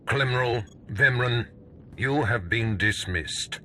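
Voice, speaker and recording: A man playing a stern, contemptuous overseer in a video game, speaking in imperious, deliberate pronouncements. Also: medium pitch, bright, forceful tone, a little hiss or hum. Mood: stern command. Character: stern, contemptuous Sith overseer, a cold commanding male master. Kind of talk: video game cutscene acting.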